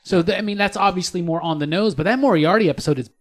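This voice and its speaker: A man's voice: high voice, fast talker. A man co-hosting a podcast in casual pop culture chat, talking with a fan's enthusiasm and emphatic words. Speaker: podcast co-host.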